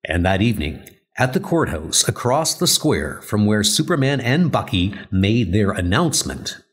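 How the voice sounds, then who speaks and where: A man narrating a scripted story dramatically, with deliberate theatrical pauses. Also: fairly low pitch, big pitch swings, husky.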